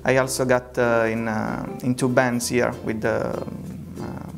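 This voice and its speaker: A man from Italy with an Italian accent, a music student giving halting answers full of fillers. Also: mid-range voice, level tone, gravelly. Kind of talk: casual interview answers. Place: classroom.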